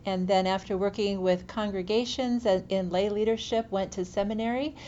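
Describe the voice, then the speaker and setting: A woman, a church relations representative, speaking earnestly as a podcast guest. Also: a little mellow. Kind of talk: podcast interview. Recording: dull lo-fi line with faint rumble.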